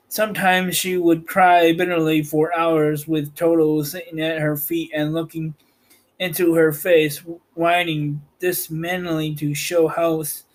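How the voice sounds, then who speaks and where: A man reading a children's story aloud as narrator, with a halting delivery that stumbles over words. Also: on the high side, poor audio. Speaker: audiobook narrator and host.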